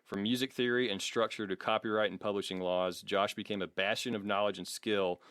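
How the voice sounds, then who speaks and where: A man's voice: fairly low pitch, steady tone, a little fast, fairly bright tone, dry recording, studio quality. An American man co-hosting a comedy podcast, reading a biography with mock grandeur, swearing and slipping into casual banter. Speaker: podcast co-host.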